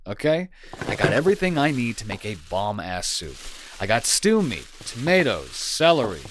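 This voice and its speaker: A man's voice: mid-range voice, a bit bright, a little hiss or hum. A man playing a caring, teasing young boyfriend in an intimate comfort roleplay audio, talking in a casual, playfully bantering way.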